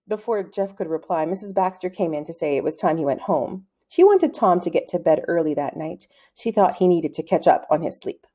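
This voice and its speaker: A woman reading a children's storybook aloud as narrator, in an expressive style. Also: fairly low pitch.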